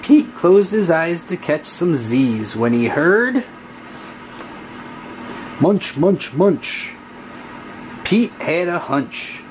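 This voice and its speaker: A man reading a children's story aloud in a deliberate, singsong storybook manner. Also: a little high, sing-song, slight background noise. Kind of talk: scripted children's read-aloud narration.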